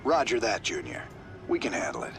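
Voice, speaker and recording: An actor playing a gruff, wry middle-aged rebel soldier in a video game cutscene, talking in a dry, terse drawl. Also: male voice, on the low side, huge pitch swings, fairly quick, over music. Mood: cool, confident.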